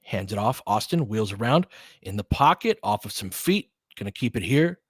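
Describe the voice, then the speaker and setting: A man's voice: fairly low pitch, very animated, moderate pace, husky, dry recording, studio quality. A man calling a basketball game live in clipped phrases, with casual, fan-like asides between the plays. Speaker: basketball play-by-play commentator.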